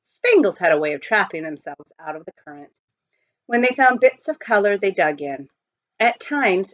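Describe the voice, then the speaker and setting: Expressive woman reading a storybook, dull recording. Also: mid-range voice, no room sound. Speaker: audiobook reader, teacher. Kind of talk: expressive storybook read-aloud.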